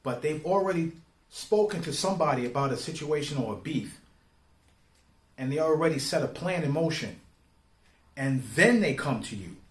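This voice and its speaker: A man's voice, a little higher than average, rings in a clearly echoing room. He gives informal opinion commentary in street slang. His delivery is emphatic and deliberate, leaning on rhetorical questions to make his points. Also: lively intonation. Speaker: online commentator. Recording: roomy, echoing sound.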